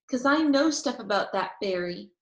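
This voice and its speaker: A woman voicing an excitable, boastful little girl in a children's storybook, with an eager, emphatic, childlike delivery. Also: mid-range voice, moderate pace, natural voice. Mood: disappointed, secretive. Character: excitable, boastful little girl, kindergarten or first-grade age. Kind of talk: children's storybook read-aloud. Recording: roomy echo.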